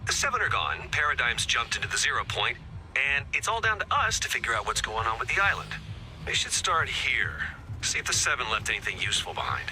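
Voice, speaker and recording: A man's voice: medium pitch, sing-song, brisk, music in the background, natural voice, poor audio. An actor playing a wry, harried agent briefing and coaxing his team in a video game. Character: wry, harried male agent leading a resistance mission. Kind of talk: scripted video game voice acting.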